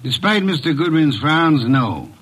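Radio drama lead: imperious eccentric detective, formal and curt, slightly high, rough-edged voice. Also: male voice, sing-song, a little slow, a bit bright, exaggerated voice. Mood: curt, dismissive. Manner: pompous, formal, precise diction. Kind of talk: classic radio drama acting.

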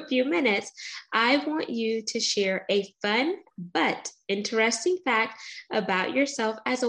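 A woman's voice: a little high, fairly bright tone, low-quality recording. A woman hosting a podcast interview, upbeat and chatty, with casual fillers. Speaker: podcast host.

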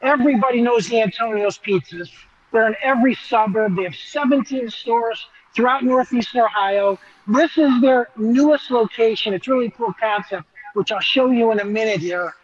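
A man's voice: very high-pitched, highs cut off, slight background noise. A man giving an enthusiastic, conversational live walk-through as a restaurant reporter, explaining as he goes.

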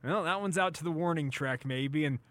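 A man's voice: a little high, a little fast, a bit bright, no room sound. A man hosting a sports radio show in an upbeat, informal, chatty way. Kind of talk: casual sports talk radio chat.

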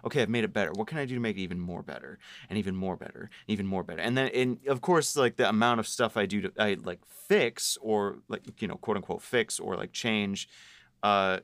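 Low-voiced man, halting casual talk, dry. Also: a bit bright, dry recording. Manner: halting, self-quoting. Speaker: creative production team member. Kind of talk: casual behind-the-scenes discussion.

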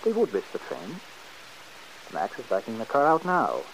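An actor playing an impatient, cold-blooded schemer in an old-time radio soap, brusque, exasperated and condescending. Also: male voice, on the low side, wildly swooping pitch, normal speed, rough recording. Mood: breezy impatience. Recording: hissy vintage radio broadcast recording.

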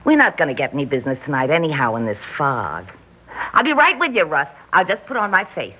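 A woman's voice: sits low, very animated, fast talker, pushed and bright, husky, heavily acted. An actress playing a sardonic, man-hungry divorced diner woman in a 1960s radio drama, with slangy, blunt American delivery. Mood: brash, flirty. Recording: dull vintage radio recording.

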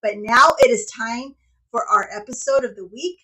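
A woman's voice: fairly high pitch, moderate pace, pushed and bright, slight background noise, low-quality recording. Bubbly woman podcast host. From Mexican heritage. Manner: upbeat and gushing, with frequent laughs. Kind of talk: casual interview chat.

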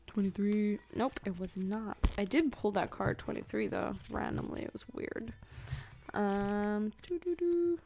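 A woman's voice: mid-range voice, unhurried, gravelly, warm tone. A young woman rambling through a weekly oracle card reading in a casual, chatty way, full of ums and asides. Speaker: spiritual podcaster.

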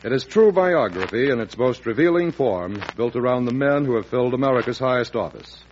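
A man's voice: medium pitch, lively intonation, a little fast. A man announcing a vintage radio show from a script in a formal, declamatory manner. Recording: muffled, faintly noisy old radio recording.

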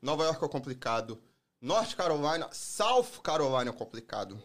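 A man's voice: a little high, big pitch swings, moderate pace, fairly bright tone. A man from Brazil with a strong Rio accent, a guest in a casual podcast chat, talking emphatically. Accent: carioca. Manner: emphatic, swears he never felt prejudice.

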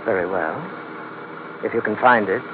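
An actor playing a sly, courteous Chinese merchant crime boss in an old-time radio drama, speaking formally with polite menace. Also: male voice, fairly low pitch, lightly acted. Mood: silky, amused. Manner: formal, elaborately polite, unhurried. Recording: noisy, tinny vintage radio recording.

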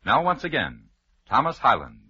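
A man's voice: mid-range voice, huge pitch swings, normal speed, pushed and bright, slightly rough. A man announcing an old-time radio show in a grand, declamatory, portentous style. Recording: dull vintage radio recording.

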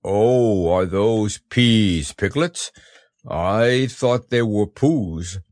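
A man's voice: lowish voice, animated, extremely slow, a little mellow, dry recording, clean studio sound. A man voicing a proud, simple-minded storybook bear with a growly voice and an earnest manner. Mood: puzzled.

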